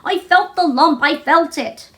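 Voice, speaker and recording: A woman's voice: very high-pitched, measured pace, voice pushed up. A woman voicing a frightened, sickly, hysterical young boy in a storybook reading, gasping and choked with sobs. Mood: choked, fearful.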